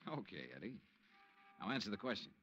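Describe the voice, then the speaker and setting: An actor playing a cool, wry hard-boiled investigator, terse and dry, in a vintage radio detective drama. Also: male voice, on the low side, very animated, fairly bright tone, slight background noise. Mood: wry, in control. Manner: terse, dry, unhurried authority. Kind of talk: 1950s radio detective drama, narration and dialogue. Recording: dull vintage radio recording.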